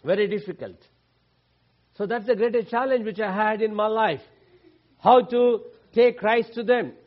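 A man from India with a Telugu-speaking Indian accent, preaching as a guest speaker in a church, with halting, repetitive phrasing and emphatic stresses. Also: high voice. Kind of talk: sermon. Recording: dull lo-fi recording.

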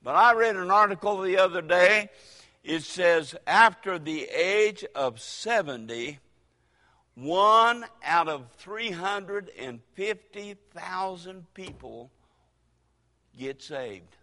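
An older man preaches in a drawl, folksy and full of dramatic pauses that break into sudden loud rises. His voice is bright and forceful. It rings out with a long reverb through a church hall. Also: sits high, animated. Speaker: pastor. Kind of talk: sermon.

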